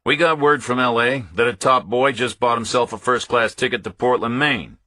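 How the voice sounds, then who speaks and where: A man's voice: medium pitch, steady tone, moderate pace, sharp tone, no echo, voice pushed up, natural voice. A man voicing a skeptical, agitated male federal agent in a thriller audiobook, blunt and challenging.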